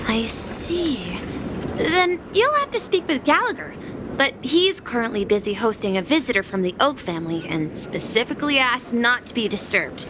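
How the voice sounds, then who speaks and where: High, lively young bellboy. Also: female voice, moderate pace, background noise. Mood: earnest, hesitant. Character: young bellboy, cheerful, polite, eager and innocent. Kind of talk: English game voice acting.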